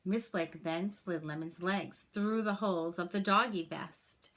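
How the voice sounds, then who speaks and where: A woman reading a children's story aloud in an expressive, deliberate way. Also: on the low side. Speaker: storybook reader. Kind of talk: children's read-aloud with personal asides.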